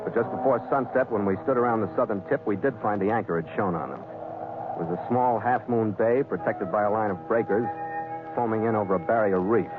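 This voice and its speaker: Actor as seafaring skipper on tinny old radio. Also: male voice, fairly low pitch, a little fast, close to an everyday voice. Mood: measured, suspenseful. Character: steady seafaring ship's skipper narrating his log. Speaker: lead actor and narrator. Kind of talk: 1940s radio adventure acting. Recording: tinny 1940s radio recording with music.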